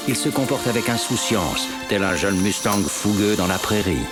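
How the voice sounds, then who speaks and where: An actor voicing a wise old male wolf in a cartoon, with a gravelly, measured, sententious delivery. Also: fairly low pitch, expressive, natural voice. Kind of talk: cartoon narration and dialogue in French. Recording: music under the voice.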